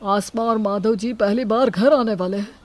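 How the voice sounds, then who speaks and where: A woman's voice: mid-range voice, moderate pace, darkish tone, natural voice. A woman voicing a worried, anxious parent in a family moral story.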